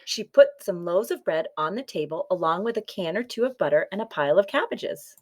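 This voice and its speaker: A woman's voice: fairly low pitch, lively intonation, brisk. A woman reading a children's folktale aloud as scripted storybook narration. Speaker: story reader.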